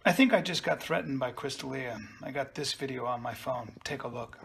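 A man's voice: mid-range voice, a little fast, creaky, a crowd in the background. A man in his fifties filming on his phone, mock-outraged and exasperated at a friend. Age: 50s. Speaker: comedian. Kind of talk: casual phone video. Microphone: phone camera held close. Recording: noisy phone video.

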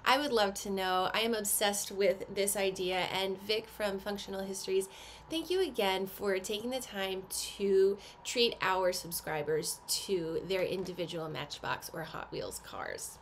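A woman's voice: medium pitch, unhurried, slight background noise. An American woman in her thirties talks chattily and instructively to camera, presenting products and addressing the viewer with questions. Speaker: presenter of subscription box unboxing. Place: small indoor home office.

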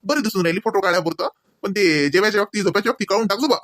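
A man's voice: sits high, very fast, fairly bright tone. A man voicing a wisecracking, mock-bossy young husband in a comedy cartoon, full of teasing banter, with a Varhadi Marathi accent.

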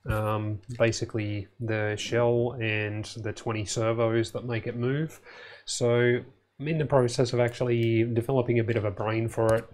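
A man in his thirties hosting an electronics channel from his home lab, talking in a relaxed, matter-of-fact way with many fillers. His voice is a little hoarse. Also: mid-range voice, normal speed, slight background noise. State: slightly hoarse, losing his voice. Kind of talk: casual video update. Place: home electronics lab, indoors, small room.

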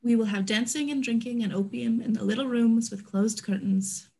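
A woman's voice: fairly high pitch, normal speed, darkish tone, rough recording. A woman in a play reading, voicing a candid, proud businesswoman of an Old West town. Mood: guarded, proud. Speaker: actor in an online staged reading. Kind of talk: scripted stage play reading. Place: indoors at home, on a group video call.

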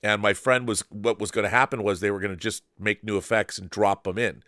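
A man's voice: fairly low pitch, steady tone, brisk, a bit bright, no echo. An older man hosting an interview and talking reflectively in a home studio, using a large studio mic. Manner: reflective, rambling. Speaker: host. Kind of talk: conversational interview. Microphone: large studio mic with headphones.

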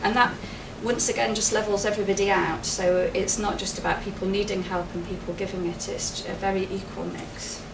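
A woman's voice: mid-range voice, flat delivery, fairly quick, vocal fry, few pauses. A middle-aged woman giving a chatty presentation to a room, laughing often. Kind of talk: public presentation. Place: wood-panelled meeting room with projector. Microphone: far camera, no mic. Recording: echoey room, distant camera, noisy.

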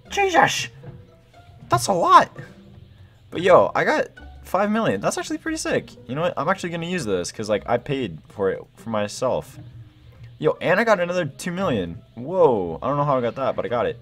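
Animated young man gaming. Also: fairly high pitch, moderate pace, soft background music. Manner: excitable, slangy, thinking aloud. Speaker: gaming video host. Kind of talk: casual gameplay commentary.

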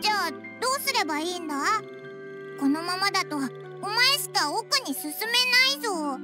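A woman playing a tiny, excitable fairy companion in an anime game, brash, childish and casual. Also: extremely high pitch, moderate pace, over loud music. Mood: puzzled, frank. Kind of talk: Japanese anime game voice acting.

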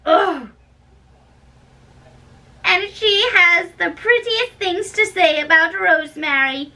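A woman acting a fussy, chatty old nurse in an amateur dramatic play reading, with drawn-out delivery. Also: extremely high pitch, sharp tone, pitched above the performer's own voice, exaggerated voice. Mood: indignant, fussy. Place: small bedroom. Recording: distant mic, room noise.

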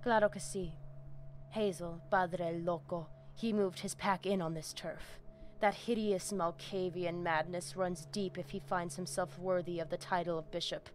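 A woman plays a vampire gang boss in a video game, speaking low. She is firm, commanding and menacing, measuring out her threats over a noisy ambient background. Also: steady tone, unhurried, close to an everyday voice. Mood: cold, scornful. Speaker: vampire gang leader character. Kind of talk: scripted video game dialogue.

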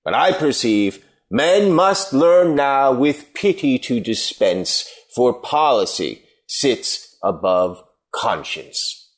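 A man playing a solemn, moralizing stranger, declaiming in a measured way in a Shakespeare play reading. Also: mid-range voice, sing-song. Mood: grave disapproval. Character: grave, moralizing male stranger condemning ingratitude.

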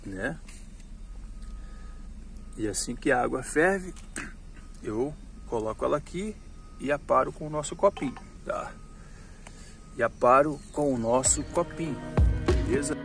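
A man in his thirties showing his gear outdoors in a casual vlog, talking slowly and repeating himself to viewers. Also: mid-range voice, animated, creaky, a little hiss or hum. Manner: slow, repetitive, chatty, addressing viewers as "pessoal". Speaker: motorcycle travel vlogger. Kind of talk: casual show-and-tell vlog. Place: outdoors by a roadside. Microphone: action camera on the ground.

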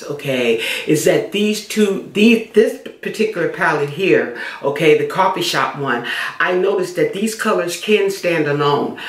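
Chatty middle-aged woman, echoey room. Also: on the low side. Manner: chatty, talks while applying makeup, repeats herself. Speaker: beauty vlogger. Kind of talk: casual makeup tutorial. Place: small room indoors.

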